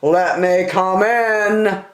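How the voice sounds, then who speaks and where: A woman's voice: medium pitch, drawn-out words. A woman voicing a menacing, blustering big bad male pig from a storybook, with emphatic threats. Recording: roomy home recording.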